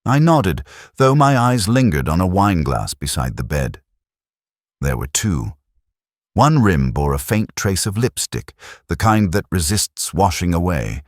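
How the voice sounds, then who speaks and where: A man narrating a scripted first-person detective story in a measured, literary, world-weary manner. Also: low-pitched, sing-song, no room sound, clean studio sound.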